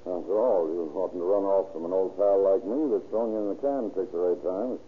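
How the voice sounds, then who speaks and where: Actor as deep-voiced, wry radio police detective. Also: male voice, a little fast, natural voice. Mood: wry needling. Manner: casual, wisecracking interrogation. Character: streetwise police detective, wry, needling, firm. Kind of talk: 1930s radio acting. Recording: tinny, noisy old radio recording.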